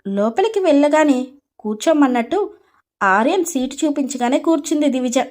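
A woman's voice: high voice, lively intonation, moderate pace, darkish tone. A woman storyteller reading scripted narration for a story.

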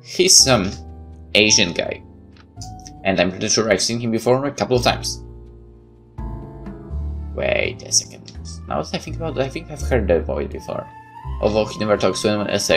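Low-voiced man narrating a visual novel. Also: sing-song, normal speed, gravelly, long pauses. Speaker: let's play host and narrator. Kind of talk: reading a visual novel aloud with asides. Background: game music.

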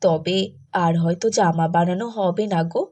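A grown neighbour woman in a cartoon voiced by an actress, pitched at an ordinary adult level. She chats in a familiar, friendly manner. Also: unhurried, warm tone. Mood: friendly, matter-of-fact. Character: chatty, friendly neighbour woman, a sewing customer. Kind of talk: Bengali moral cartoon voice acting.

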